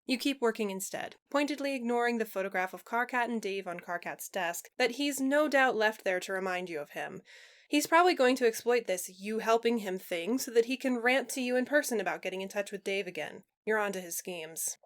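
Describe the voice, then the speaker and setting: A woman reading fan fiction aloud as a narrator, in an expressive scripted storytelling style. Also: on the high side, brisk.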